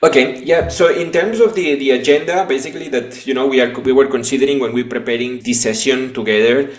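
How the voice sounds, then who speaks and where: A man hosting a live webinar over a video call, his speech halting and full of restarts and a questioning "no?". His voice sits at a middle pitch and carries an echo from the room he is in. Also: fairly even intonation. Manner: halting, frequent restarts and tag "no?". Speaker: webinar host and business coach. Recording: echoey room.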